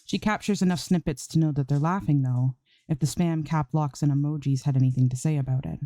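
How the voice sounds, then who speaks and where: A woman's voice: deep voice, a little fast, warm tone, no room sound. A woman narrating a scripted piece of fan fiction as an amateur story reader.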